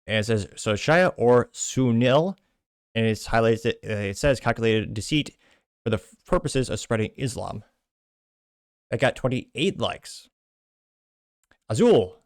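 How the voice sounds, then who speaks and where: A man's voice: mid-range voice, lively intonation, unhurried, long pauses, no room sound. Man casually reading comments, dry close sound. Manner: rambling asides and self-corrections. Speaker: host.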